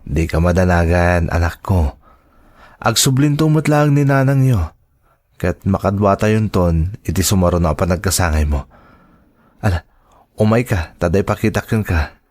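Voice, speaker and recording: A man with an Ilocano accent acting a gentle, devoted father in an audio drama, tender and reassuring. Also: sits low, lively intonation, normal speed, long pauses. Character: gentle, devoted father of young children, wife working abroad.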